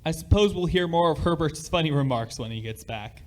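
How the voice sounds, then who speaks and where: A man playing an excitable, insistent father in an amateur radio play. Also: fairly high pitch, a little fast, lightly acted. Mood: dry. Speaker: high school theatre performer.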